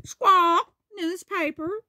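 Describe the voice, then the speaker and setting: A woman's voice: extremely high pitch, extremely slow, pushed and bright, no room sound, pitched above the performer's own voice, heavily acted. A woman voicing a squawky female parrot in a children's storybook, parroting short phrases in a piercing voice. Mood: squawking, perky. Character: loud squawking female parrot who repeats words.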